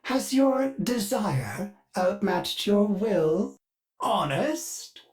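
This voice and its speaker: A man's voice: sits high, very animated, drawn-out words, full character voice. An actor playing a polite, fussy male ship AI in a video game who speaks of himself in the third person, heard through a glitchy robotic voice filter. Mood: disdainful. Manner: sudden dark glitched outbursts.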